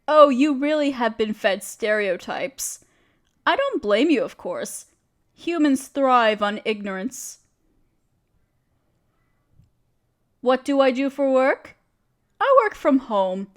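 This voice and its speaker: A woman's voice: high-pitched, expressive, takes it slowly. A woman playing a friendly, genteel witch who chats kindly in quaint, dainty phrases, in an audio roleplay. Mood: gently teasing. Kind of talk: scripted audio roleplay speaking to the listener.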